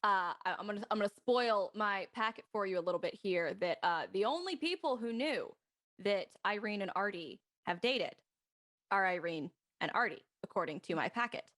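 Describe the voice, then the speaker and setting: A woman's voice: mid-range voice, animated, moderate pace, fairly bright tone. A woman in a casual group discussion, thinking aloud as she reasons through her points. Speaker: panelist on a live show.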